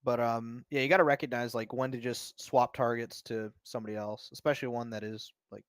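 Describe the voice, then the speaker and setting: Relaxed man coaching a game review. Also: medium pitch, brisk, no echo, clean studio sound. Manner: relaxed, explanatory, many fillers. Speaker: coach reviewing match footage. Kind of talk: casual spoken game review.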